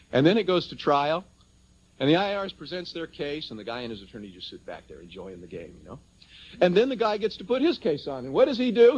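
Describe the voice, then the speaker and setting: Dull lo-fi tape, male seminar speaker, lively intonation, emphatic, persuasive, rhetorical questioning. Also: sits high. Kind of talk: persuasive public seminar talk, addressing the audience.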